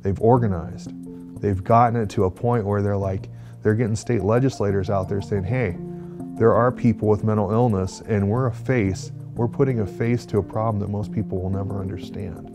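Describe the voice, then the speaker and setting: A man with a fairly low voice speaks in an informal, conversational way for an interview. Guitar music plays clearly behind him. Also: steady tone, normal speed. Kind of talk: documentary interview.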